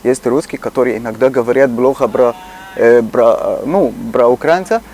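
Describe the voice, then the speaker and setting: A man with an Egyptian Arabic accent, a native Arabic speaker, talking earnestly in casual chat and pausing to find words. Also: medium pitch, a little mellow, slight background noise. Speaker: shopkeeper.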